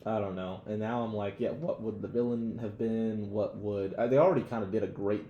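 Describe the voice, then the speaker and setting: A man's voice: lowish voice, fairly even intonation, fairly quick, mellow, muffled recording. A young American man co-hosting a podcast, chatting casually with blunt, slangy, profane opinions. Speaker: podcast co-host. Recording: roomy echo, background noise.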